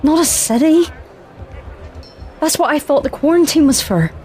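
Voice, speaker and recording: An actress playing a crash-landed space commander, questioning and wary, in an audio drama. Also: female voice, sits high, normal speed, darkish tone, faint music behind, lightly acted. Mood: curious.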